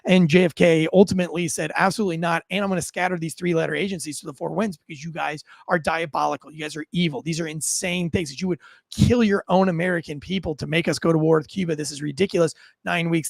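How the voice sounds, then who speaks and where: A man hosting an online news show, giving informal, unscripted commentary to viewers in a halting way, often repeating words. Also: on the high side, creaky, no echo.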